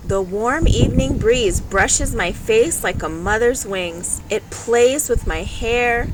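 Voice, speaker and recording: A woman reading a children's story aloud in a measured, expressive way, pausing between short phrases. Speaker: storybook reader. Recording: loud steady background noise, vehicle-like rumble.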